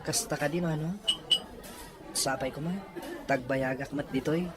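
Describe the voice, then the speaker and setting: A man's voice: fairly high pitch, steady tone, unhurried, crowd noise, noisy background, pitched above the performer's own voice, close to an everyday voice. A man playing a meek, polite young working student in a serial drama. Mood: humble, earnest. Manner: deferential. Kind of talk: acted drama dialogue.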